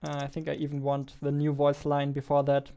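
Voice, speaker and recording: A man in his twenties gives casual game development commentary as a non-native English speaker. His voice is smooth and a little high, and he takes his time as he thinks aloud. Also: completely flat delivery, fairly quick, dark tone, muffled recording. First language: not English. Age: 20s. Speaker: indie game developer, streamer. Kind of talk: casual live coding commentary. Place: small indoor room at a computer.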